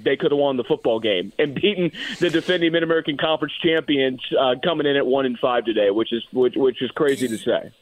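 A man calling in as a sports broadcaster, giving conversational game analysis in a halting way, repeating words. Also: mid-range voice, dry recording. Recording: thin phone-line call.

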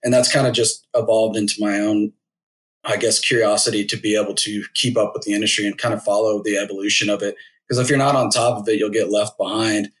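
Thirties male guest, low and even-toned, thoughtful and unhurried. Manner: measured, thoughtful, with many fillers. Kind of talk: casual interview.

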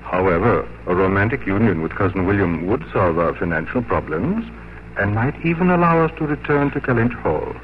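An actor playing a fussy, pushy older gentleman father in a 1950s radio drama, pompous and emphatic. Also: lowish voice, expressive, fairly quick, natural voice. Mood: insistent. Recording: muffled, noisy 1950s radio recording.

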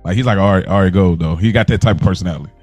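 American male podcast co-host, lowish voice, loose slangy delivery with repeated phrases, clear music underneath. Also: racing, a little mellow, no room sound. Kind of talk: casual podcast chat. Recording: music playing behind the voice. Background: clear background music.

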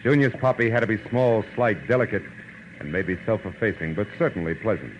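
An American actor playing a wry, world-weary private detective, deadpan and sardonic, in a 1940s radio drama style. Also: male voice, on the low side, brisk, slight background noise, natural voice. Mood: wry narrating. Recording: muffled old radio recording, music behind.

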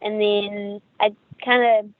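A woman's voice: medium pitch, little pitch movement, takes it slowly, no room sound. A young woman with a New Zealand accent, an actress chatting in a friendly interview over a remote call. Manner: friendly, chatty, with restarts and laughs. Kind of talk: casual interview chat. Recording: tinny, dry call audio.

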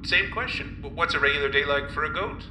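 A man's voice: fairly high pitch, fast talker, sharp tone, reverberant, slight background noise. A man playing an earnest, formal professor who interviews guests, with many hesitant "uh"s. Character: earnest male professor running a strange experiment. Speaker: host. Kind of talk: improvised comedy.

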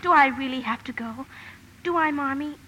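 A female actor playing a shy, gentle little girl who speaks hesitantly and earnestly in a 1950s radio drama. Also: sits high, normal speed, a little hiss or hum, rough recording. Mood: timid, wondering. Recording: old radio broadcast with music behind.

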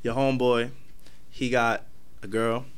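A man hosts a casual podcast conversation. He moves the talk along with questions, quick affirmations and jokes. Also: medium pitch, level tone, clean tone. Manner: steers the talk, affirming and joking. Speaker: podcast host. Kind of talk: casual chat.

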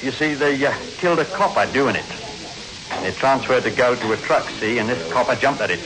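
A man's voice: mid-range voice, fairly quick, crowd noise, natural voice, low-quality recording. An actor playing a chatty, matey café counterman, gossiping knowingly in a 1960s radio drama. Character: chatty gossiping roadside café counterman, adult man, matter-of-fact.

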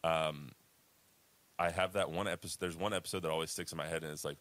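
A man's voice: low-pitched, fairly even intonation, fast talker, a bit bright. A man in his twenties co-hosting a casual sports podcast, rambling and laughing often. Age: 20s. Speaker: podcast co-host.

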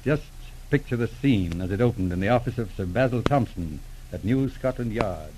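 A man's voice: on the low side, expressive, moderate pace, natural voice. An actor playing a wry, discreet male police inspector in a 1940s radio drama, dry and understated. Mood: measured, knowing. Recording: noisy old radio recording.

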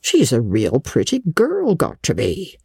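A woman's voice: a bass voice, huge pitch swings, a little slow, gravelly, darkish tone, breathy edge, exaggerated voice. A woman voicing a blunt, opinionated older country woman with folksy, emphatic speech in a storybook reading.

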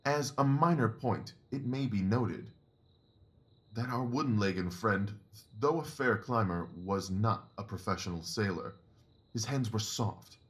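An actor playing a keen, self-assured Victorian detective in an audio drama, speaking in a precise, deliberate way. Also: male voice, on the low side, expressive. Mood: reasoning, confident.

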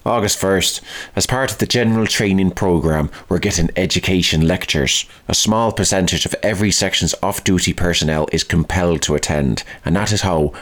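A man giving a scripted narration of a wartime diary. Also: low voice.

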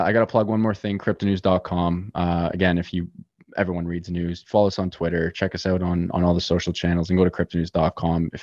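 A man's voice: deep voice, darkish tone, no echo, lo-fi sound. A man in his twenties, a podcast guest, talking casually with lots of fillers and restarts. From Canada. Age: 20s. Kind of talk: casual chat.

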